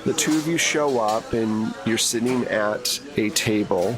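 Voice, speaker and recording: A man narrating a tabletop roleplaying game as game master, in a measured, conversational way. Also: on the low side, music in the background.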